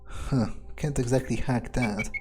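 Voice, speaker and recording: A man's voice: medium pitch, fairly even intonation, measured pace, over music. A man musing in character as he plays through a game, hesitantly thinking out his next steps. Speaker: gamer playing in character.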